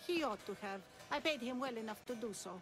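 An actress playing an enigmatic, lofty older wise woman in a video game, speaking in a measured, cryptic, superior way. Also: fairly high pitch, animated, a bit bright, faint music behind. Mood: cryptic, testing. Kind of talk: video game cutscene dialogue.

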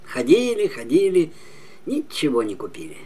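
A man in his seventies narrating a fairy tale expressively and emphatically, with dramatic pauses and drops to a near whisper. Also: a little high, wildly swooping pitch, a little mellow, breathy edge. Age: 70s. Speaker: storyteller.

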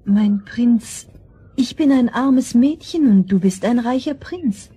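A modest young maiden, very breathy and mellow. She is gentle and hesitant, speaking slowly in an old fairy-tale radio play. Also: on the high side, animated, full character voice. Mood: shy, hesitant.

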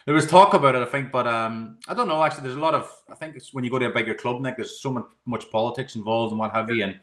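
A man's voice: mid-range voice, quick pace, a bit bright. A middle-aged Irish man, a guest and goalkeeping coach, answering questions on a video call from a room at home. He talks casually and rambles, repeating words and saying "you know" often. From Ireland.

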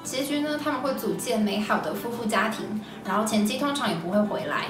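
A woman's voice: a little high, animated, a little fast. A woman in her twenties with a Taiwanese Mandarin accent, hosting a scripted recommendation vlog. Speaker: video host. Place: small indoor room. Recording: echoey room, slightly distant mic. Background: background music.

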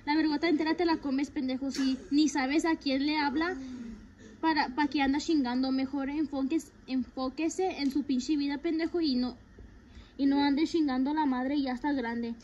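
A woman's voice: high voice, steady tone, fairly quick, a little mellow, lo-fi sound, slight background noise. A young woman chatting with friends, brash and profane, switching between English and Spanish. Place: dim living room with friends. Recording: busy room with people talking over.

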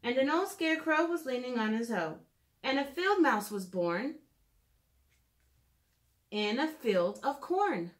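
A woman in her thirties with a somewhat high voice speaks to small children. Her pace is very slow and her delivery is simple and clear, in the manner of a preschool teacher reading or showing how to draw. She is in a room at home, a short way from the camera. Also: lively intonation. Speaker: children's educator reading and drawing. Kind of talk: picture-book read-aloud and drawing lesson for preschoolers.

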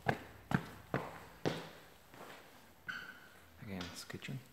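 A man's voice: extremely slow, background noise. A man, a realtor, walking through an empty house and pointing out the rooms in a plain, matter-of-fact way. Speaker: realtor giving a home tour. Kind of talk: casual walk-through narration. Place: empty house and its yard, indoors and outdoors.

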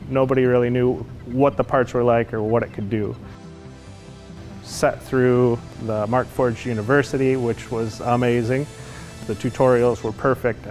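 A man's voice: mid-range voice, a little mellow. An American man in his forties, a production manager, talking colloquially in a customer testimonial interview. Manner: plain-spoken, colloquial. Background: music.

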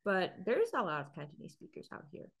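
A woman's voice: fairly quick, vocal fry, husky. A young woman from Macau co-hosting a podcast over a video call, chatting animatedly and laughing as she reads comments. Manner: animated, laughs often, swings high when mocking. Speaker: podcast co-host. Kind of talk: casual chat reading comments. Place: indoor room, video call split screen.

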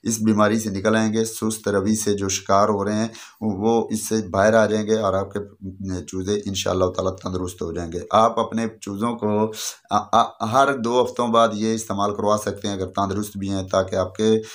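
A man's voice: fairly low pitch, flat delivery, normal speed. A man in his thirties with a Pakistani accent, giving informal instructions to camera and repeating his points step by step. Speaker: poultry channel host. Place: small furnished room indoors.

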